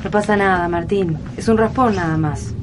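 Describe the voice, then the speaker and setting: A woman's voice: mid-range voice, moderate pace, lightly acted. Reassuring Argentine mother played by a woman, noisy scene. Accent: Argentine Rioplatense. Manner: reassuring, practical. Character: steady, reassuring mother among resistance fighters. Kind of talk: radio drama acting.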